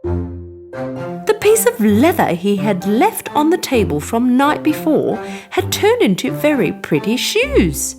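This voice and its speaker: A woman narrates a children's fairy tale with a very lively, swooping delivery and a fairly high voice. Clear music plays behind her as she tells it expressively. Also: slow pace. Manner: expressive, animated storytelling. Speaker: storyteller. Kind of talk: scripted bedtime story narration for children.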